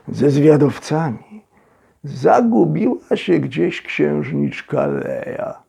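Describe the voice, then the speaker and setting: A man narrates a storybook in a gravelly, husky voice. His delivery is slow and theatrical, with suspenseful pauses between phrases. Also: a little high, dark tone. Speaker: storyteller, actor reading a children's audio story. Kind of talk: dramatic scripted storybook narration.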